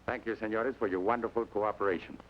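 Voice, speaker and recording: A man's voice: on the low side, steady tone, a little hiss or hum, close to an everyday voice. A man playing a wry Mexican police colonel. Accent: Mexican Spanish. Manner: dry, measured, ironic. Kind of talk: 1940s radio acting. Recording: dull old radio recording with music.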